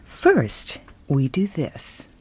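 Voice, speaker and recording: An actress playing a glamorous, scheming wife in a radio drama, arch, sultry and teasing, with a creaky voice. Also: female voice, low-pitched, huge pitch swings, extremely slow, a little hiss or hum, exaggerated voice. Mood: teasing, sly. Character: glamorous, seductive, scheming younger wife of wealth.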